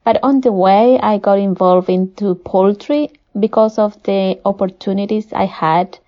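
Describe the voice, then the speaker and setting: A woman from Peru with a Spanish accent, a poultry nutritionist giving measured, formal, prepared answers as an interview guest. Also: mid-range voice, fairly even intonation. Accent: Peruvian Spanish. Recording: dull, muffled lo-fi audio.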